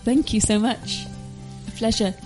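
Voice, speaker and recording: A woman's voice: a little high, steady tone, unhurried, darkish tone, soft background music. A woman from England, a guest volunteer, chatting in a friendly way while answering interview questions.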